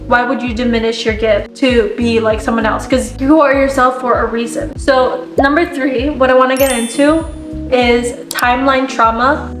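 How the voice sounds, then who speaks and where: A young woman giving a casual motivational talk straight to her viewers, earnest and full of "like" fillers, her voice a bit worn and sniffly. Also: sits high. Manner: earnest, frequent "like" fillers, direct address. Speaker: self-help content creator. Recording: echoey room with faint music.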